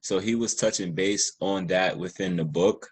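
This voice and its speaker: A man's voice: low-pitched, fairly even intonation, moderate pace, husky. A man hosting a casual interview, talking in an earnest, informal way and often repeating himself. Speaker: podcast host interviewing a guest.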